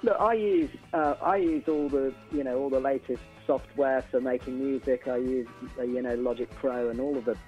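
A man's voice: mid-range voice, steady tone, moderate pace, hardly any pauses, darkish tone, dull audio, faint music behind. A middle-aged man talking casually and emphatically as a musician guest in a radio interview, often repeating phrases.

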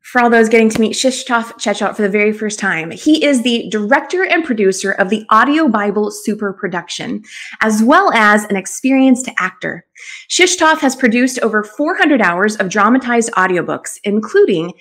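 A woman's voice: fairly high pitch, lively intonation, moderate pace, fairly bright tone. A middle-aged woman hosting an interview show, introducing and questioning her guest. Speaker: talk show host. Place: small room at home, indoors. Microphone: desk microphone on video call.

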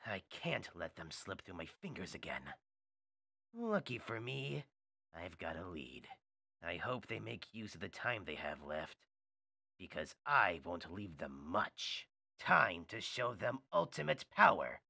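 Guy doing a dark edgy game hedgehog antihero, low n gravelly, grim and terse. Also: sing-song, measured pace, dry recording, studio quality, exaggerated voice. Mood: grim, menacing.

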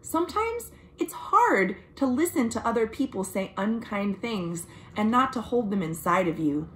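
Animated young American woman reading a storybook. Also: a little high, slight background noise. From United States. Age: young adult. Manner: expressive, deliberate, addressing young children. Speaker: children's librarian reading storytime. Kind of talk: animated picture-book read-aloud with friendly asides. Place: small room at home.

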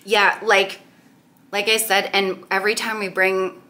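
A woman's voice: mid-range voice, flat delivery, unhurried, bright, forceful tone. A woman in her thirties casually walking through a recipe in her home kitchen, chatty and upbeat with lots of "like". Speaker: weight-loss cooking vlogger.

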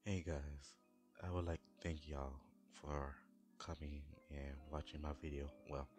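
A man who makes audio roleplays, giving a low-key sign-off and thanking his listeners. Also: steady tone, measured pace, music in the background.

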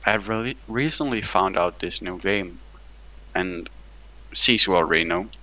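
A man with a low but bright, edgy voice chats over a game on his mic. He is blunt and teasing and laughs easily, with a forward, sharp tone. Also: normal speed. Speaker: gaming channel co-host. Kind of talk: casual chat while playing.

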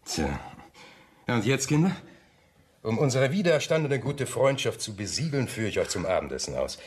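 An actor playing a wealthy, controlled husband in a German radio drama, speaking in a measured, polished way with pauses. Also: male voice, mid-range voice, sing-song, lightly acted. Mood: jovial. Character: wealthy suave older husband, controlled, wistful, condescending.

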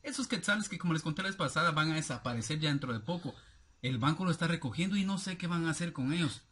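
Male vlogger, thirties, Guatemalan accent. Slightly high, husky voice; loose, familiar delivery while reading viewer comments aloud. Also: expressive, fast talker, fairly bright tone. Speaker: YouTube vlogger. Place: small cluttered room indoors at home.

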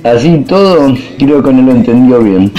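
Man as mansion gentleman, radio comedy, medium-pitched, warm relaxed tone, polite, easygoing. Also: moderate pace, highs cut off, faint music behind, lightly acted. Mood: amused. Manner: courteous, complimentary.

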